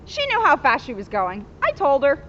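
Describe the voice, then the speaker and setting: A woman's voice: sits high, wildly swooping pitch, normal speed, fairly bright tone, highs cut off, a little hiss or hum, heavily acted. A woman voicing a blunt, honest young schoolboy in a storybook, emphatic and matter-of-fact.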